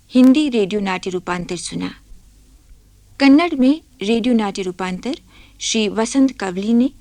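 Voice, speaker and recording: Female radio announcer, slightly high voice, slow deliberate pace, formal scripted delivery, precise phrasing, dry sound. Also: a little mellow, no room sound.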